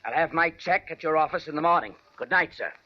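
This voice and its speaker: A man's voice: a little high, expressive, quick pace, very noisy, lightly acted. An actor playing a stern, curt, domineering rich old man in a 1940s radio drama. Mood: stern, dismissive. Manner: curt, imperious. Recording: muffled vintage radio broadcast.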